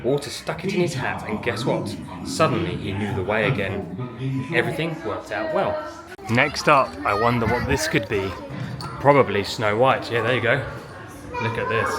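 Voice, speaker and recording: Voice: medium pitch, expressive, normal speed. A parent narrating a family vlog in a casual walk-through style, out and about in a theme park. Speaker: vlogger, parent on a family outing. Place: outdoors in a theme park, walking, sometimes inside small buildings. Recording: loud music behind, outdoor park ambience. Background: loud music.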